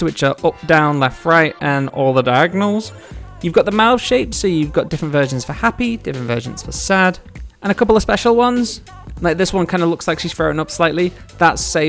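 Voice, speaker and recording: Casual man with a slightly creaky voice. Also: on the high side, fairly bright tone. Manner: informal, chatty, step-by-step explaining. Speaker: solo game developer and devlog host. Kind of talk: casual narrated video devlog.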